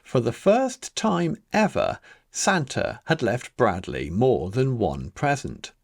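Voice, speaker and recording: A man narrates a children's picture book with lively swings of pitch. He takes his time, pausing for emphasis and drama, in a close, dry recording. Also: gravelly, no room sound. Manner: dramatic, deliberate storytelling with emphatic pauses. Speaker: author reading his own picture book. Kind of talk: expressive children's story narration.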